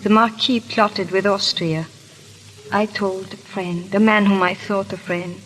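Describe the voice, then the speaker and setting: A woman's voice: mid-range voice, fairly even intonation, a little slow, a bit bright, lightly acted. A woman playing a proud, guilt-ridden aristocratic wife in a theatrical, urgent 1930s radio drama style. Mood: remorseful, distressed. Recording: crackly, hissy 1930s radio recording.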